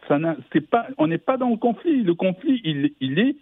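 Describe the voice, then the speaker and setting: A man calling in to a radio show, giving his opinion with animated, halting speech and many restarts. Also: sits high, slightly rough, dry recording. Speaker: radio caller. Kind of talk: phone-in opinion. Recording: tinny phone line.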